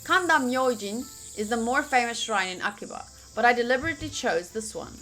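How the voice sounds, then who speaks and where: A woman's voice: fairly high pitch, expressive, fairly quick, a bit bright, poor audio. A woman game streamer reading a visual novel aloud theatrically, mixed with casual reactions. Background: faint game music.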